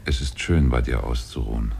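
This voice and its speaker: An actor playing a powerful, lovestruck and possessive demon in a 1950s German radio play, speaking solemnly. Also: male voice, fairly quick, darkish tone, slight background noise, exaggerated voice. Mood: tender, confiding. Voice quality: very deep, husky.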